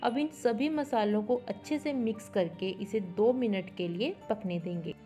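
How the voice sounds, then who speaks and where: A woman's voice: mid-range voice, normal speed, dark tone, soft background music. A woman giving a step-by-step instructional cooking voice-over, mixing in English words. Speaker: cooking show host. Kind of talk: recipe tutorial voice-over.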